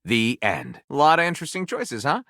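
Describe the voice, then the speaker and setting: A flamboyant, pompous male author character from a video game, spinning tales with grandiose melodrama. The voice is bright and forceful, leaping widely in pitch with excitable, gushing flourishes. It is recorded very dry and close. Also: fairly quick, dry recording, exaggerated voice. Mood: theatrical, wry. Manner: grandiose, melodramatic narrator delivery with gushing exclamations. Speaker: voice actor playing a game character. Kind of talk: theatrical storytelling, video game voice lines.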